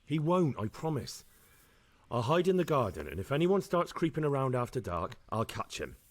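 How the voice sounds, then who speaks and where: A man's voice: medium pitch, very animated, normal speed. An actor playing a steadfast young medieval man-at-arms in a video game, speaking directly and confidently. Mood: reassuring. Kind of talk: dramatic video game acting.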